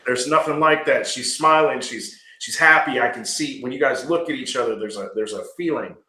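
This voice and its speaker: A man's voice: mid-range voice, brisk, bright, forceful tone, reverberant, low-quality recording. A man, a business owner guest talking casually and earnestly, often checking in with "right?". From Georgia. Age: 40s. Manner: earnest, rambling, frequent "right?". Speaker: landscaping company owner, guest. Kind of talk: casual podcast conversation.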